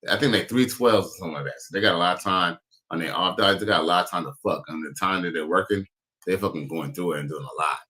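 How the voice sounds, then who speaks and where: A man with a low voice and a bright, forceful edge runs a loose commentary. He rambles, swears freely and keeps losing his train of thought mid-sentence. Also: fast. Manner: rambling, profane, searching for words. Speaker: commentary show host. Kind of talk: casual reaction commentary.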